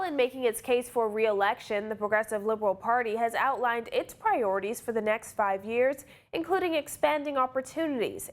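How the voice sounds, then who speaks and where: A female news anchor reads from a script. Her voice is a little higher than most women's. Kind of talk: scripted newsreading.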